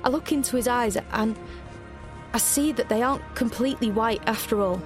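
A woman's voice: fairly high pitch, moderate pace, natural voice. Woman as a young heroine narrating over music. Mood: tense, wondering. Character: reflective, wry young heroine narrating her own story. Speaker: first-person narrator of an audio drama. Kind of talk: scripted dramatic narration.

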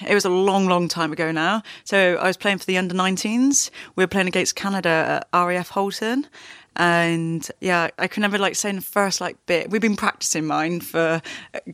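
A woman's voice: on the low side, a little fast, fairly bright tone, dry recording, studio quality. A woman, a rugby player who also works as a plumber, chatting in an interview with lots of "like" and laughter. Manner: chatty, laughs often, many likes and fillers. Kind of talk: casual interview chat.

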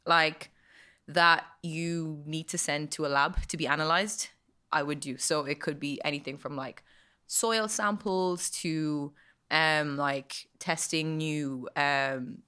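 Bright low-voiced Irish woman. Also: steady tone, takes it slowly, clean tone. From Ireland. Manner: chatty, lots of likes and ums, laughs easily. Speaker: guest. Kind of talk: casual interview chat.

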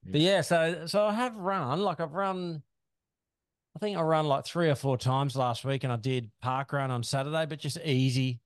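A man's voice: fairly high pitch, normal speed, clear, resonant tone, no echo, studio quality. A man co-hosting a podcast, chatting in a laid-back way with lots of fillers, often ending lines with "eh?". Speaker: podcast co-host. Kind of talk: casual chat.

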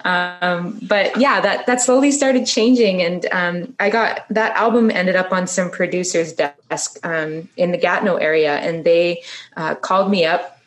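A woman in her thirties from Canada, a singer-songwriter guest, chatting about her past with lots of ums and likes, going quieter on hard topics. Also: measured pace. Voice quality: low voice for a female singer. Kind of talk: casual interview storytelling.

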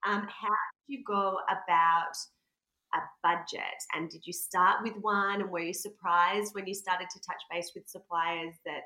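A woman's voice: fairly low pitch, fairly even intonation, unhurried, sharp tone. A woman hosting a podcast interview, talkative and asking long run-on questions. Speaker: podcast host. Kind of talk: casual interview chat. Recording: roomy echoey sound.

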